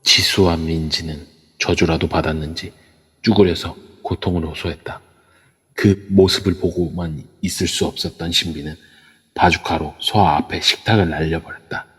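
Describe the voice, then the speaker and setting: A man narrating an amateur audio drama in a steady storytelling manner. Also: low-pitched, normal speed, husky. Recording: long echoey reverb.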